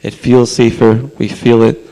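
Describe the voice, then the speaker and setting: Hoarse male rabbi, earnest public comment, conversational, mid-pitched. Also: flat delivery, unhurried, dark tone, slight background noise, poor audio. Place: council chamber.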